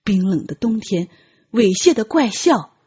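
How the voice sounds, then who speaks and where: A woman's voice: medium pitch, very animated, measured pace, mellow, slightly rough, dull audio. A woman narrating an audiobook as dramatic, scripted storytelling.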